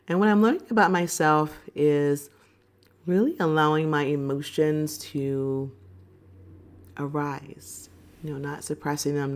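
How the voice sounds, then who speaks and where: A woman of about fifty, recovering from a cold, talking to camera in a reflective, unhurried and candid way. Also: a bass voice, a little mellow. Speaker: vlogger. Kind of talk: personal reflective talk to camera.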